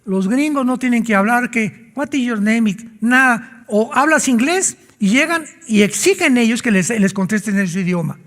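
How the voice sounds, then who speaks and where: A man's voice: extremely high pitch, lively intonation, moderate pace, hardly any pauses, fairly bright tone, big-room sound. A man preaching a sermon as a pastor, emphatic and full of rhetorical questions.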